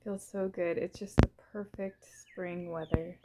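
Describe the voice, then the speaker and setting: A woman gently leading a guided visualization in the first person, soft and unhurried, pausing between short phrases. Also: lowish voice, steady tone, very noisy.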